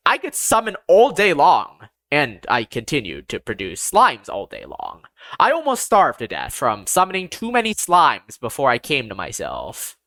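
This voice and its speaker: A narrator of ambiguous-sounding gender reading a first-person fantasy story in a measured, deliberate, expressive way. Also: mid-range voice, no echo. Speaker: audiobook narrator.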